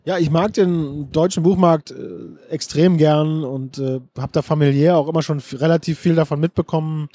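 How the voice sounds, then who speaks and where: A middle-aged man answers interview questions at a book fair, searching for words and restarting sentences. His voice is mellow and fairly high for a man. The sound is close and dry. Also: steady tone, normal speed, few pauses, husky, dry recording. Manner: thoughtful, restarts sentences often, many fillers. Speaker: interview guest, screenwriter and director. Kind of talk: casual interview talk.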